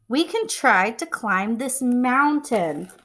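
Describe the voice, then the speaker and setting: A woman's voice: a little high, expressive, slow pace, fairly bright tone, full character voice. A woman voicing a friendly little frog in a children's storybook, earnest and simple, eager to seem brave.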